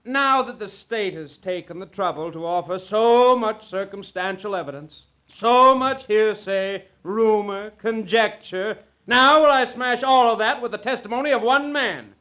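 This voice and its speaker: Casting: cocky radio-drama defense counsel, high sharp voice, grandiose oratory. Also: male voice, unhurried, hardly any pauses. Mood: triumphant oratory. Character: cocky, theatrical male defense attorney. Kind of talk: 1940s radio acting.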